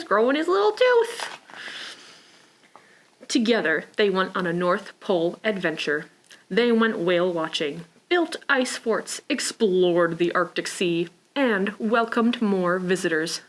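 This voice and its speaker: A woman hosting a preschool storytime, reading aloud and talking simply and encouragingly to small children. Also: lowish voice. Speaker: library preschool storytime host.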